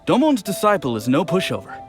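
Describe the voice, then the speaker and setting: Game townsman: young, gossipy, expressive, swooping pitch on the high side, music behind. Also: brisk. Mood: eager, gossipy. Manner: expressive storytelling. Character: chatty, eager young townsman gossiping as an eyewitness.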